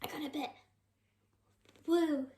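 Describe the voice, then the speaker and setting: A performer voicing an eager, easily excited puppet pal who gives short bouncy replies in a children's puppet show. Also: high-pitched, slow pace. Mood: agreeable, eager. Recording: echoey room.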